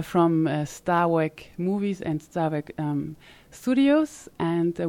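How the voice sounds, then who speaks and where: Woman host asking questions, lowish dry voice, lots of ums. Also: mellow, no room sound. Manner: hesitant, frequent fillers. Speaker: podcast host. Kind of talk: conversational interview. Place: conference summit venue.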